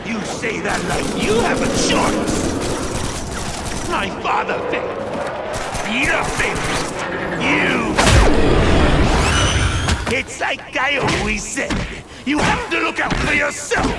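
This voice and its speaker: A man's voice: very high voice, very animated, slow, long pauses, heavily acted. A male actor voicing a bitter, spiteful young rich-heir villain in a video game, with a volatile, sneering delivery. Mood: scornful. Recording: loud music and action behind the voice. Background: loud game soundtrack.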